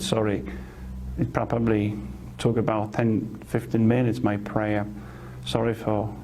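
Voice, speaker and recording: A middle-aged priest from Poland, a Polish native speaker, gives a personal testimony, speaking slowly and haltingly with pauses and fillers. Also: male voice, medium pitch, gravelly, dark tone, slight background noise. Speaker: parish priest.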